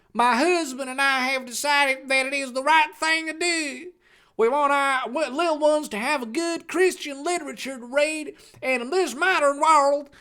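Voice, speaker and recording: A man reading out a fan fiction author's note in a high, sweet, drawling voice as a pious mother. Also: bright, forceful tone, exaggerated voice. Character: fanfic author, sugary churchy mother.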